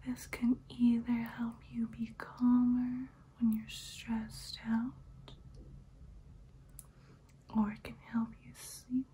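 A woman performs an ancient female vampire in an ASMR roleplay. Her breathy whisper is soft and mellow, drawn out very slowly and kept almost flat, soothing and hypnotic throughout. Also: on the high side, a little hiss or hum. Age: young adult. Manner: slow, soothing, hypnotic, repetitive. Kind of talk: ASMR personal-attention roleplay. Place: small room indoors.

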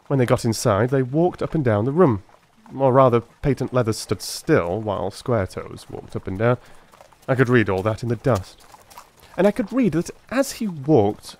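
A man's voice: medium pitch, a little mellow. A man voicing a brilliant, self-assured detective in a dramatised audiobook, explaining with confidence. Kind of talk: dramatised audiobook character acting.

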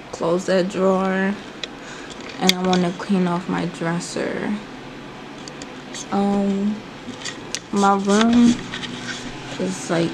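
Young woman, casual chatty vlogger, mid pitch, talks straight to camera from a small bedroom with noise behind her. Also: creaky. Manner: chatty and animated, addresses viewers as y'all. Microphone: handheld phone camera, then propped on desk.

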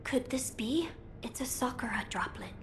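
A woman's voice: on the high side, animated, moderate pace, slight background noise, natural voice. A woman voicing an earnest, dignified young noble boy in a video game, formal and polite. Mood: earnest, gracious.

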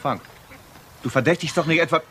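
An actor playing a blunt, irritable police detective in a radio crime drama, speaking in a brusque, colloquial way. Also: male voice, a little high, fairly quick, bright, forceful tone. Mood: annoyed. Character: blunt, irritable male police detective, loyal but suspicious. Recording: clear background noise.

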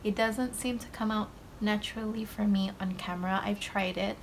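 A woman's voice: mid-range voice, vocal fry, a little hiss or hum. A young woman from Malaysia hosting a budgeting channel, talking casually to camera while she counts cash at her desk, with lots of ums and likes. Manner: slow, relaxed, with many ums and likes. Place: indoors at a desk. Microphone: overhead camera framing desk and hands.

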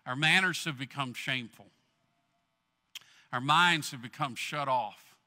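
Bright-voiced pastor preaching in a hall. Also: male voice, measured pace. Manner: earnest preaching, swells loud then drops low. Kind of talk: sermon. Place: large church stage.

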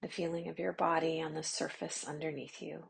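A woman guiding a yoga nidra meditation in a slow, soft, soothing voice, with long pauses and some phrases half whispered. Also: deep voice, little pitch movement, gravelly, breathy edge. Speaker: meditation guide.

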